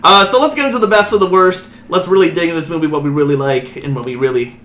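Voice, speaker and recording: A man's voice: a little high, quick pace, sharp tone, roomy sound. A man hosting a casual movie review podcast, playful and rambling, often stumbling over his words. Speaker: podcast host.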